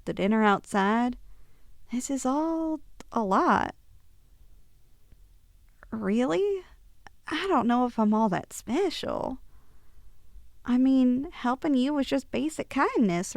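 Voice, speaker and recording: High, unhurried, bashful sweet young woman, acted by a woman. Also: expressive, gravelly, no room sound, exaggerated voice. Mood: delighted, affectionate, then bashful, flustered. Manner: soft, hesitant, affectionate, sighing. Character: sweet, bashful young woman shrunk tiny, tenderly confessing feelings. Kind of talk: ASMR roleplay.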